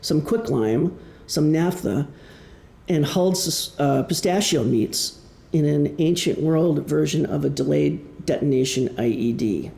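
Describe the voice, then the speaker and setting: Midwestern american guy doing a book talk, gravelly fry in a soft mellow voice, explains things with pauses. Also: a little high. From Wisconsin, United States. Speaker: author presenting his novel. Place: indoor presentation room. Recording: echoey room.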